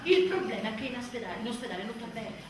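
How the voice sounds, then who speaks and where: In a roomy, echoing space, a worn-out young mother in a radio play monologue. Her voice is high and swings dramatically in pitch. She is sardonic, desperate and volatile, heard a little off the mic. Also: big pitch swings, quick pace. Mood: sarcastic fury. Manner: volatile, sardonic, swearing, swinging from outbursts to murmurs. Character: exhausted, embittered young mother confessing, sarcastic and desperate. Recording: roomy echoing stage, distant mic, faint noise.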